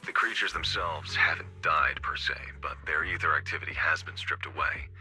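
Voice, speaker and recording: Deep, sharp-edged stern commissioner. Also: male voice, moderate pace, soft background music, full character voice. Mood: stern, composed. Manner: clipped, formal, authoritative. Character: stern, curt, composed male commissioner. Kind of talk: video game voice acting.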